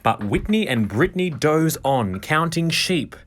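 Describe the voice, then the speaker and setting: A man reading a children's picture book in verse, with expressive, dramatic, rhythmic narration. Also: on the high side, a little slow.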